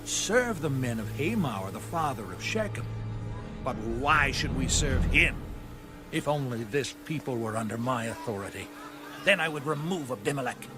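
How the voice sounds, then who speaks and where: An actor playing a brash, defiant rebel leader in a dramatized audio Bible, theatrical and challenging in delivery. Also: male voice, mid-range voice, animated, moderate pace, over music, close to an everyday voice.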